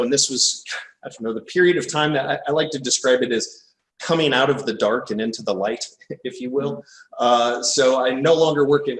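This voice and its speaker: A man in his thirties with an American Midwestern accent, giving a relaxed, informal academic seminar talk. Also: medium pitch. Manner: relaxed, informal, thinking aloud. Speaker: guest lecturer. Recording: echoey room, distant mic.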